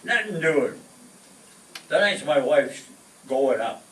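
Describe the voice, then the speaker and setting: A man's voice: on the high side, expressive, slow, fairly bright tone, a little hiss or hum. An actor playing a henpecked, skeptical family man and wisecracking pal in a 1940s-style radio sitcom. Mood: grumbling. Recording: echoey room, distant mic, faint music.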